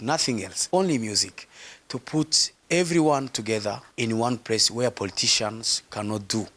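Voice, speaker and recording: A man, a singer, telling his own story in an earnest, measured interview. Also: medium pitch, fairly bright tone.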